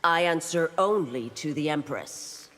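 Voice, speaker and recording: Deep-voiced, stern lawwoman. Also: expressive, measured pace, a bit bright, exaggerated voice. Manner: firm, unbending. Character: stern, dutiful woman officer of the law. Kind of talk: video game fight-intro dialogue. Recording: reverberant hall, faint noise.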